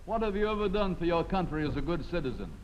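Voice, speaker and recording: A man's voice: fairly high pitch, expressive, quick pace, soft background music. An American man questioning a witness at a committee hearing in an earnest, pressing manner. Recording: muffled, noisy 1950s hearing room recording.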